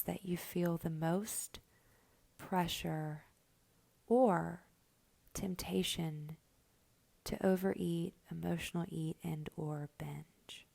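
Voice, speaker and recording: A woman leads a guided meditation very slowly and gently, leaving long pauses between instructions. Her voice is soft and mellow, often nearly whispered, with a noticeable fry at the edges. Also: lowish voice. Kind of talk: slow guided meditation narration.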